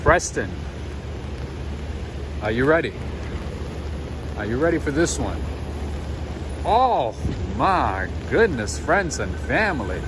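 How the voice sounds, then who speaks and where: A man talks casually to his livestream audience as he walks a city street at night, his voice sitting a little high. He speaks into a handheld phone, and loud traffic noise fills the background. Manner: chatty, addresses viewers, lively swings in pitch. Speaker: livestream walking-tour host. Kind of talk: casual livestream chat with viewers.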